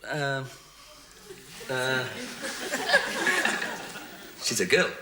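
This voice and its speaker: A man's voice: mid-range voice, wildly swooping pitch, extremely slow. A young man with a Yorkshire accent rambles through his story with dry sarcasm, his voice swinging widely up and down. The sound is a hissy, noisy old 1980s TV recording. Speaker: game show contestant. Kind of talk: recorded date recap interview.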